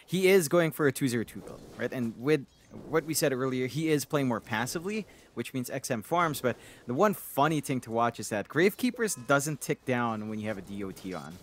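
Mid-pitched male analyst caster. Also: expressive, fairly quick, few pauses. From Philippines. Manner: explanatory, playful, self-deprecating jokes. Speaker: esports color commentator. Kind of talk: analytical game commentary.

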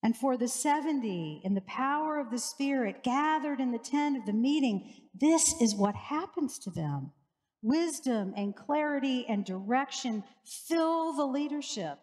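A woman in her forties, a pastor, preaching a sermon in a slow, measured way with rhythmic repetition, on a headset mic in a church sanctuary. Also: on the high side, a little mellow. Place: church sanctuary with large lake-view windows. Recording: reverberant church hall.

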